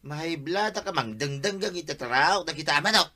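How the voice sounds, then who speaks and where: Comedy skit: querulous Filipino village grouch, played by a man, Ilocano accent, very forceful and slightly high-pitched. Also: expressive, brisk, soft background music. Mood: grumbling. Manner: querulous, insistent. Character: grouchy village man forever complaining about noisy roosters. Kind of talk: amateur comedy skit acting.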